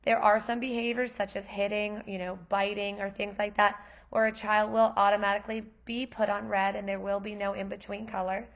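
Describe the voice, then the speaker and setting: Woman, kindergarten teacher, hoarse from losing her voice, friendly parent-night explainer, gravelly tone, dull lo-fi recording. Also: medium pitch, little pitch movement, brisk. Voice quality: gravelly, worn voice.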